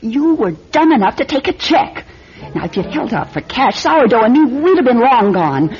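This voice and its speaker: An actress playing a hard, scheming young femme fatale in a noir radio drama, cool and scornful. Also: female voice, on the high side, big pitch swings, moderate pace, darkish tone. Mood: defiant, scornful. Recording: dull old radio recording, faint music.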